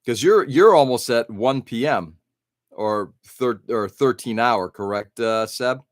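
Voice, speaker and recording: A man's voice: mid-range voice, a little slow, dry recording, clean studio sound. A middle-aged man hosting an early-morning live stream, rambling casually and answering viewers' chat comments.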